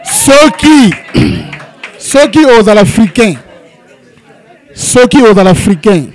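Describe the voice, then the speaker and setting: A man with a high voice puts questions to the guests, loud and emphatic as he calls out to the room. He sounds like a press event interviewer pushing each question across a busy space. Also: sing-song, takes it slowly, some chatter behind.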